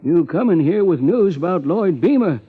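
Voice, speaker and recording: A man's voice: high-pitched, animated, moderate pace, husky. An actor playing a folksy, gossipy rural American lawman with a homespun drawl in a 1950s radio drama. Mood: matter-of-fact. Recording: muffled 1950s radio recording.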